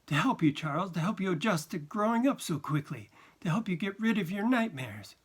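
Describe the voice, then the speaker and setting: A narrator voicing a timid, anxious woman who pleads and whines gently. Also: medium pitch, lively intonation, brisk, breathy edge, voice pushed up. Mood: gentle, pleading. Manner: whining, hesitant, pleading.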